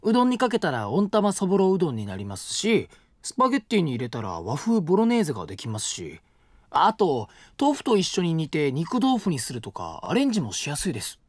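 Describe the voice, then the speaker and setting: An actor playing a polite, gentle young college student who cooks and patiently explains recipes. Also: male voice, fairly high pitch, wildly swooping pitch, fairly quick, no room sound, clean studio sound. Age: young adult. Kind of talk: studio audio drama. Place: dry studio.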